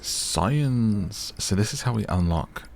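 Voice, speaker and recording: A man giving relaxed, soothing gameplay commentary in an unhurried, chatty ASMR style. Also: fairly low pitch, gravelly. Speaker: gaming streamer.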